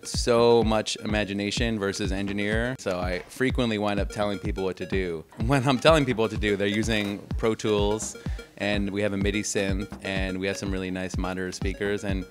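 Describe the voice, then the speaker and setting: A man's voice: on the low side, fairly even intonation, fairly quick. A man who is a music producer, answering an interview in an emphatic, opinionated way.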